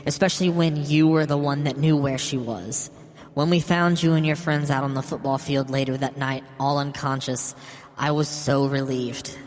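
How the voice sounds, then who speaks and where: A man voicing a bitter, grieving old mother in a horror story, wounded and resentful, confessing haltingly. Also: on the high side, pitched above the performer's own voice, close to an everyday voice. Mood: accusing. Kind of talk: dramatic horror story reading. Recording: long hall reverb. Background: music.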